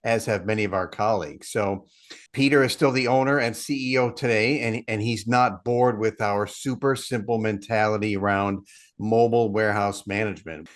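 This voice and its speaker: Middle-aged man, low voice, steady explainer on a video call. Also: normal speed. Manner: steady, explanatory, self-deprecating. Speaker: partnerships head, guest. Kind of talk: casual interview chat.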